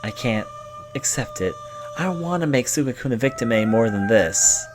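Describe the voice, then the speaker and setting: A man voicing an earnest, protective young female student in a video game, pleading and halting as he reads the dialogue aloud. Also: mid-range voice, animated, over music, lightly acted.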